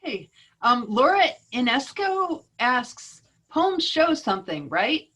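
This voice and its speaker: A woman's voice: high voice, big pitch swings, slow pace, a bit bright, slightly rough. A woman moderator reading out audience questions, brief and businesslike.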